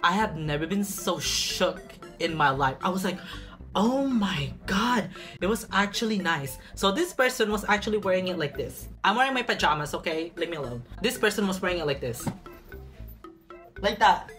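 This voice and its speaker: A man's voice: high voice, lively intonation, moderate pace, music in the background. A young man chatting casually while unboxing a bag in a small apartment room, sassy and dramatic, stretching words for emphasis. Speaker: vlogger.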